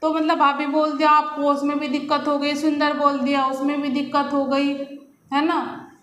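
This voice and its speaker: A woman's voice: high voice, monotone, normal speed, fairly bright tone, roomy sound. A woman giving unscripted opinion commentary in a scolding, argumentative way, often with rhetorical questions. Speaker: commentator on reality show gossip. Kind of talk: unscripted opinion commentary addressed to viewers and contestants.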